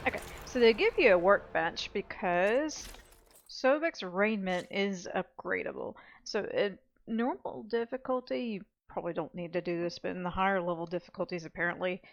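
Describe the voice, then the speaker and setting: A woman hosting a gaming video, giving casual, low-key commentary and thinking aloud as she plays. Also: moderate pace, gravelly.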